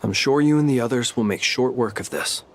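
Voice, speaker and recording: An actor voicing a calm, teasing male lighthouse keeper in a game, speaking with dry humor and an unhurried manner. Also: medium pitch, natural voice. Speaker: game character.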